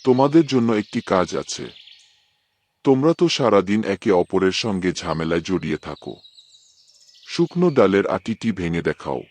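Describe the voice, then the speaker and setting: A man's voice: low voice, big pitch swings, moderate pace, a little mellow. A man voicing a hardworking old village farmer who lectures his quarreling sons in an earnest, fatherly way. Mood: calling.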